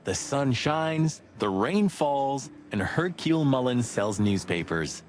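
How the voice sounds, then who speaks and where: A man's voice: medium pitch, sing-song, slow pace, faint music behind. A man playing a world-weary rooster detective in a noir adventure game, speaking in a wry, reflective way. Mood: musing.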